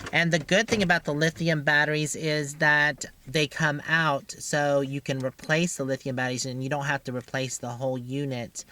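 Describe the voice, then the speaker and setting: A woman's voice: little pitch movement, normal speed, few pauses, sharp tone. An American woman chatting casually and informally as she vlogs from her motorhome, often talking to herself. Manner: chatty, informal, talks to self while driving. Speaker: RV travel vlogger and driver. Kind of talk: casual unscripted vlog chat. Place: inside a motorhome, outdoors on the road. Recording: noisy vehicle rumble and ambience.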